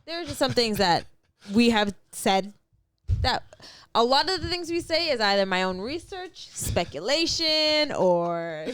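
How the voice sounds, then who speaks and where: A woman co-hosting a casual sports podcast, talking informally with slang and shout-outs. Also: a little high, big pitch swings, a little slow, clean tone. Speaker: podcast co-host.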